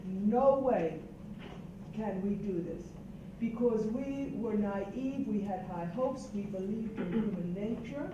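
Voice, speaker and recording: A woman's voice: mid-range voice, takes it slowly. Older Puerto Rican woman, echoey distant room. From Puerto Rico. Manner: earnest, reflective, emphatic. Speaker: roundtable participant. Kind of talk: panel discussion. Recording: distant echoey room mic, dull and noisy.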